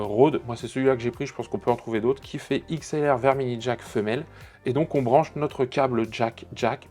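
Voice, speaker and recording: Male tech channel host, average pitch, conversational and explanatory demo delivery, light music underneath. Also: darkish tone. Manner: conversational, explanatory, addresses viewers directly. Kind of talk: casual product demonstration to camera.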